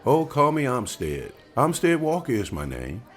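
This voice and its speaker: A man's voice: medium pitch, wildly swooping pitch, moderate pace, faint music behind. A man reading the part of a polite, earnest older suitor courting a young woman at a table read.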